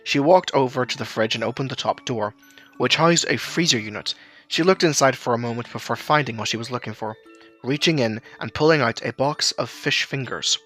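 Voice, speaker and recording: A man's voice: mid-range voice, fairly quick, fairly bright tone, faint music behind. A man reading scripted narration for an audio drama as its storyteller.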